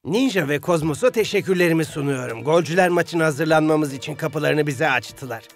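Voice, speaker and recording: Cocky young team captain in a cartoon, showy speeches, voice a bit high, some music. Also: male voice, lively intonation, a little fast. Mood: smug, rallying. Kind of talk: animated cartoon dub.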